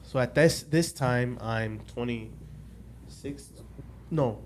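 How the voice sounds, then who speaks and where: A man's voice: medium pitch, extremely slow, darkish tone, a little hiss or hum. A man in his late twenties telling a personal story as a guest on a casual podcast, rambling, restarting often and losing track of the dates.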